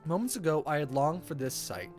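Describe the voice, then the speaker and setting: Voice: mid-range voice, very animated, a little fast, darkish tone, faint music behind. A game streamer reading a visual novel aloud in a playful, theatrical way, stumbling over the text and reacting casually.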